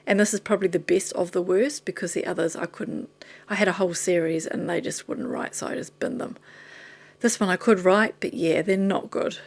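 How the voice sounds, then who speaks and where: Woman, New Zealand accent, a bit hoarse and tired, rambling, chatty, casual vlog talk. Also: fairly low pitch, a little mellow. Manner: rambling, chatty, self-deprecating, drifting off topic. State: tired and losing her voice. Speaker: vlogger. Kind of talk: casual chat showing items to camera.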